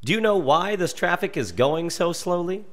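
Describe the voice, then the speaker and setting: A man voicing a gruff, easily irritated storybook dad with an exclamatory, folksy way of talking. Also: sits high, expressive, moderate pace, a bit bright, voice pushed up. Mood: forced politeness. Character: gruff, easily irritated, polite-trying dad telling stories.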